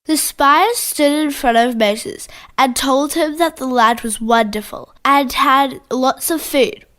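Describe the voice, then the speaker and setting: A woman's voice: high-pitched, takes it slowly, a bit bright. A woman with an Australian accent co-narrating a scripted children's story.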